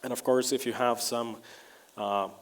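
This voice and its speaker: A man whose first language is Lithuanian gives a technical conference talk with a live demo, speaking relaxed and wry with frequent "uh" fillers and restarts. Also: mid-range voice, a little slow. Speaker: conference presenter. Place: conference hall.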